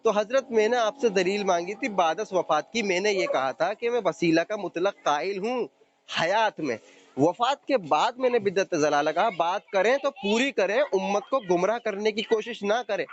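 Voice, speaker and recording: A man's voice: high-pitched, normal speed. A man debating religion on a phone call, combative and taunting, insisting on his points. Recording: dull, noisy phone call recording.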